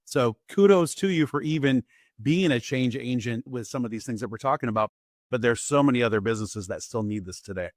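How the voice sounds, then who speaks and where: A man's voice: mid-range voice, brisk, no echo, studio quality. A man co-hosting an interview podcast, affirming the guest and building on her points in casual conversation. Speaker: podcast co-host.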